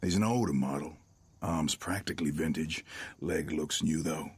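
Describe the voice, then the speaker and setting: A crooked small-town lawman with a very low, husky voice. He is smug and menacing, taking his time as he taunts, in audio drama style. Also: dry recording. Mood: smug, taunting. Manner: unhurried, taunting, arrogant.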